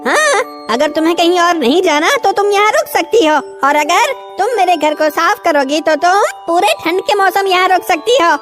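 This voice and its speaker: A woman voicing a kindly but bossy house mouse in a children's animated fairy tale, speaking in a hospitable, matter-of-fact way. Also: extremely high pitch, moderate pace, few pauses, soft background music. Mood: bargaining, bossy. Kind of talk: children's animated story dub.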